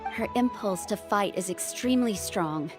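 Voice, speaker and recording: Actress as young lady doctor in a game, caring but guarded, bit hesitant, medium pitch, music under it. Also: normal speed, natural voice. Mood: explaining, guarded. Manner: hesitant, earnest.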